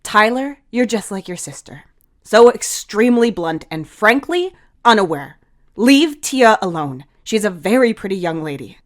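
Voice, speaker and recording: A woman's voice: mid-range voice, animated, measured pace, voice pushed up, natural voice. Casting: woman playing blunt young guy, protective big-brother authority, commanding delivery, audio drama. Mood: exasperated, commanding.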